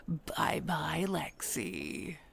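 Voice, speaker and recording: A woman playing a sly, taunting, hungry vampire brother in an audio roleplay, with a breathy, languid, teasing delivery. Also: low-pitched, very noisy, exaggerated voice. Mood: taunting, amused. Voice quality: breathy, half-whispered. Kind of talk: audio roleplay drama acting.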